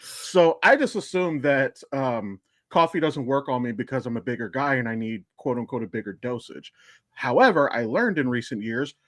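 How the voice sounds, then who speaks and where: A man's voice: medium pitch, dry recording. An American man talking casually as a podcast co-host, blunt, self-deprecating and freely profane. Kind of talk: casual chat.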